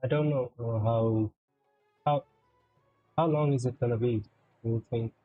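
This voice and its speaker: A man's voice: medium pitch, unhurried, no echo. A man giving casual, off-the-cuff commentary as he live streams a game. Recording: muffled, phone-like narrow band. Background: faint game music.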